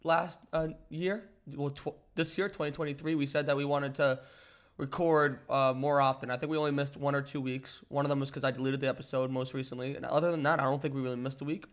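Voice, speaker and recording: A man's voice: mid-range voice, steady tone, fast. A man co-hosting a casual sports and pop culture podcast, chatting in an upbeat, joking way and slightly under the weather. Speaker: podcast co-host. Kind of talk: casual chat. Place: apartment in a big city.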